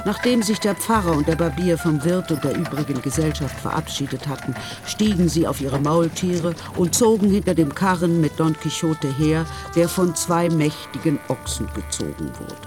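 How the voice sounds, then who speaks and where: A man plays a storyteller in a radio play, with a somewhat high voice. He narrates in measured, literary phrases. Faint music runs behind him. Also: lively intonation, lightly acted. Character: measured storyteller of a knightly tale.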